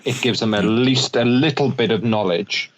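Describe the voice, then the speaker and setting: A man in a casual podcast chat who explains things in a measured, reflective way. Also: mid-range voice, a little hiss or hum, rough recording.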